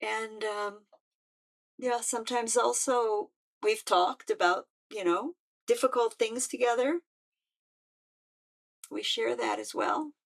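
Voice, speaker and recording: An older woman, a musician speaking in a halting, heartfelt way with frequent restarts. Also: fairly high pitch, creaky. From United States. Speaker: musician and peace activist. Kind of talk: personal reflective talk.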